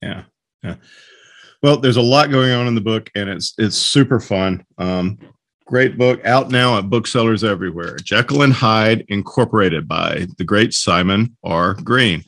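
A man hosts a relaxed, conversational interview. His voice is on the low side and he speaks haltingly, feeling for his words. The sound is dry, with no room echo. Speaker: podcast host, publisher. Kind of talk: casual interview chat.

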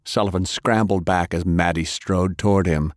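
Male audiobook narrator: very low voice, dramatic delivery of action prose, close dry studio recording. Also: fairly even intonation, normal speed, dry recording.

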